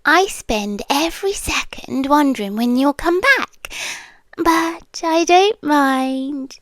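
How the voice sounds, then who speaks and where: Woman playing a slow, high-voiced British vampire girlfriend. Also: fairly bright tone, no echo. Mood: adoring, obsessive. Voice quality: breathy, often whispered. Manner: slow, lingering, teasing menace. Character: possessive, doting yandere vampire girlfriend, eerily sweet. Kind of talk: intimate ASMR roleplay. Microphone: close microphone, dry.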